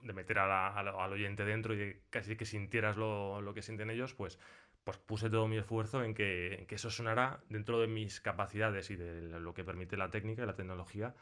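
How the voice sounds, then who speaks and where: A man's voice: fairly low pitch, steady tone, fairly quick, fairly bright tone, smooth. A man with an accent from Spain chatting casually as a sound designer being interviewed in a studio, acting out remembered remarks as he tells stories. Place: recording studio.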